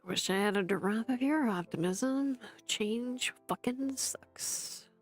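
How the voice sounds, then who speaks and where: A woman's voice: on the high side, slow pace, soft background music. A woman voicing a weary young man who talks in a tired, dry, downbeat way.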